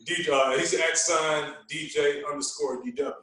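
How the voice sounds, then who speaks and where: Male DJ guest, slightly high voice, slangy casual talk, heavy room echo. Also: fairly even intonation, moderate pace, fairly bright tone. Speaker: podcast guest, radio DJ. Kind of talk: casual chat. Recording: very echoey, roomy remote sound.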